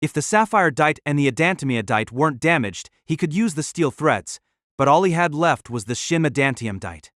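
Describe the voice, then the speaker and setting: A man's voice: fairly high pitch, animated, normal speed, fairly bright tone, no room sound, clean studio sound. A man reading scripted narration of an action story.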